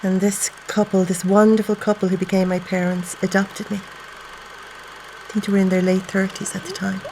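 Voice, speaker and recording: A woman's voice: medium pitch, flat delivery, normal speed, darkish tone, a little hiss or hum, close to an everyday voice, rough recording. An actress playing a grateful middle-aged adopted woman, fondly and conversationally recalling childhood in a dramatised testimony. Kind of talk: dramatised first-person testimony. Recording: faint music behind.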